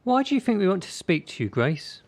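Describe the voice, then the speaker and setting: An actor playing a critical, controlling gymnastics coach in a radio drama, measured and condescending. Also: male voice, sits high, very animated. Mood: smoothly condescending.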